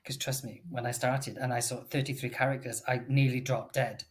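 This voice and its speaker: A man in his forties from Wales, with a Welsh English accent, chatting casually as an English teacher, animated and full of fillers. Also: medium pitch, fairly quick, noisy background. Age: 40s. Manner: chatty and animated, lots of fillers and self-corrections. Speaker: English teacher and podcast guest. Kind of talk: casual conversational chat.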